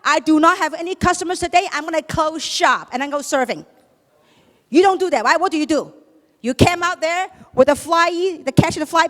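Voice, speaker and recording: Female seminar speaker, Chinese accent, high voice, emphatic question-and-answer delivery, reverberant hall. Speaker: motivational business speaker. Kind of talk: motivational sales seminar talk to an audience. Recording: echoey hall, long reverb.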